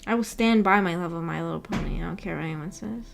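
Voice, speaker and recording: A woman's voice: fairly low pitch, quick pace, creaky, soft background music. A young adult woman chatting casually on a livestream, rambling informally and reading viewer comments aloud as she goes. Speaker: VTuber livestreamer.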